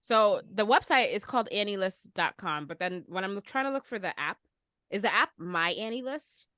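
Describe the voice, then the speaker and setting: Woman, podcast co-host, very animated pitch, bright tone, giggly casual chat. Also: moderate pace, no echo, studio quality.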